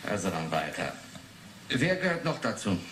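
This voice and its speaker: An actor in a 1980s radio play playing a curt, suspicious male police inspector, brusque, probing and deliberate. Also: fairly low pitch, very animated. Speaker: police investigator. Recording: roomy, faintly noisy 1980s radio drama.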